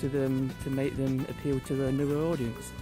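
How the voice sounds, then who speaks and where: A man in his thirties with an average-pitched voice thinks aloud and circles back over his own phrases. He talks into a handheld camera on a residential street. Music is clearly heard behind him. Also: flat delivery, normal speed. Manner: repeating and correcting himself as he thinks aloud. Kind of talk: casual chat. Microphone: phone or camera held at arm's length.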